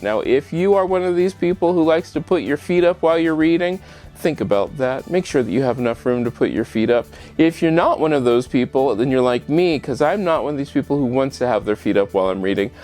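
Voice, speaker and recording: A man's voice: medium pitch, animated, a little fast, a little mellow, soft background music. A man hosting a casual how-to home vlog, chatting straight to the viewer and posing rhetorical questions. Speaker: home show host. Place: bedroom at home.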